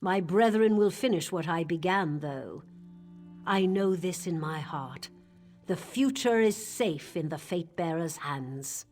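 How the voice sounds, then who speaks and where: A calm, fatalistic woman cult killer from a fantasy game, as a woman voices her. She speaks in a low, composed voice, certain of destiny. Faint music plays behind her. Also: slow.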